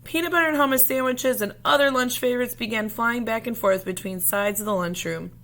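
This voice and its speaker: A woman reading a children's picture book aloud expressively, in measured phrases with pauses, as a storyteller speaking to young listeners. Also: a little high, fairly bright tone.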